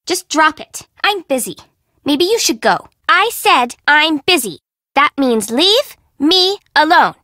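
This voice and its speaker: A woman's voice: sits high, big pitch swings, slow, bright, forceful tone, dry recording, studio quality, full character voice. An actress voicing a flippant, sassy young fashion-minded city girl in a video game, with a teasing, slangy, blunt way of talking. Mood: cold, annoyed. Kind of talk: video game character dialogue.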